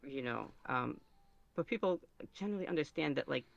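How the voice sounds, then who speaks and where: A man giving casual podcast interview answers as a guest, halting and thinking aloud as he goes. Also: a little high, animated, vocal fry, highs cut off. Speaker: podcast guest, ASMR roleplay creator.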